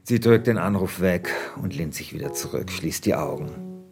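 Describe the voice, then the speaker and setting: Male podcast host, lower register, casual script read-through and chat, faint music underneath. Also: expressive, moderate pace, vocal fry.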